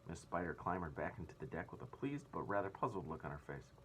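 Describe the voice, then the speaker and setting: Low-pitched, animated man reading a storybook. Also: fast, a little hiss or hum. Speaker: read-aloud narrator. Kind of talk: expressive children's story reading.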